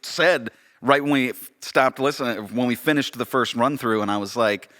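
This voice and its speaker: A man's voice: mid-range voice, normal speed, pushed and bright. A man co-hosting a podcast, chatting casually in a modest, self-deprecating way about his work as a director. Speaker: audio drama director, podcast co-host.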